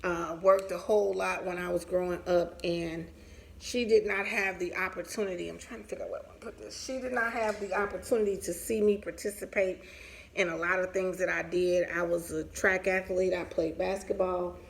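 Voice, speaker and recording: Creaky-voiced woman telling her story. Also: on the low side, fairly even intonation, normal speed. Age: 40s. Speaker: vlogger sharing her cancer story. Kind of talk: casual personal storytelling while getting ready.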